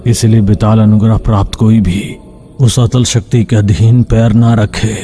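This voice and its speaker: A man narrating an animated folk tale as scripted, dramatic storytelling. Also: fairly low pitch, normal speed, faint music behind.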